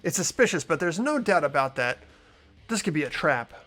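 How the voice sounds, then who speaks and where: A man's voice: on the high side, big pitch swings, brisk, fairly bright tone, faint music behind. A man voicing an earnest, anxious teenage boy investigator, narrating and questioning in a video game readthrough. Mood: suspicious, puzzled. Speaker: host of playthrough. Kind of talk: amateur game voice acting.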